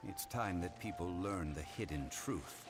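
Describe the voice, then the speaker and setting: A composed, dignified consultant from a game speaks in a formal, measured way. His voice sits very deep and low, yet his intonation moves with clear expression. Game music plays steadily behind him. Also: animated. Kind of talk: game voice acting.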